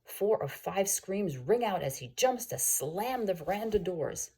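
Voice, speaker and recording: A woman who works as a teacher reads a story aloud in an expressive, explanatory manner. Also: mid-range voice, breathy edge. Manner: expressive, explanatory, pausing to gloss words. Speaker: teacher reading aloud for students. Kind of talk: scripted storybook read-aloud.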